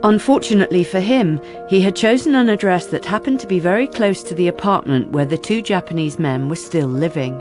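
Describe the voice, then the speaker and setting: A woman narrating a radio drama from a script, measured and factual. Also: fairly low pitch, lively intonation, music in the background.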